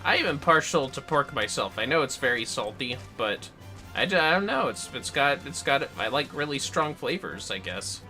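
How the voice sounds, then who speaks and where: Animated man chatting on a game stream. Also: medium pitch, moderate pace, faint music behind. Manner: playful, chatty, mutters asides to the game. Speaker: livestream host playing an online video game. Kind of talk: casual gaming livestream chat.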